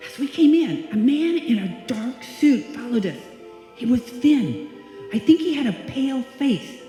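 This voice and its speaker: A woman's voice: high-pitched, very animated, measured pace, mellow, faint music behind. A woman playing a nervous, panicky small-town lady in a live old-time radio drama, fretful and dramatic. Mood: panicked. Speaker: amateur radio play actor. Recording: very echoey hall, live stage performance.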